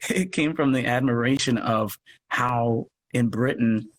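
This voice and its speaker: A man's voice: mid-range voice, fairly even intonation, takes it slowly, fairly bright tone, husky. An American man talking casually as an interview guest, in a rambling, self-effacing way. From United States. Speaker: guest, audio drama producer and actor. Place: live social media video call. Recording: noisy live video-call audio.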